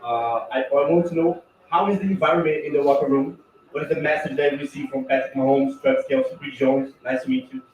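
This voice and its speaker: A man's voice: fairly high pitch, a little fast, a little mellow, slight background noise. A man from Brazil, a reporter asking a question hesitantly. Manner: hesitant, searching for words. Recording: dull, echoey, distant sound.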